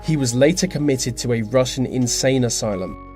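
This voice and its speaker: A man reading scripted narration for a list video, slipping into dry, deadpan asides. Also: medium pitch, moderate pace. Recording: music behind the voice. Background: background music.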